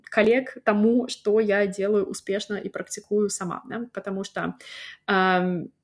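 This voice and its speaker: A woman's voice: medium pitch, flat delivery, a little slow. A woman hosting a solo podcast on language teaching, talking casually with many "right?" tags and little laughs. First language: not native in the language she teaches. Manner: chatty, frequent "да?" tags and fillers, laughs now and then. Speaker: podcast host and phonetics teacher.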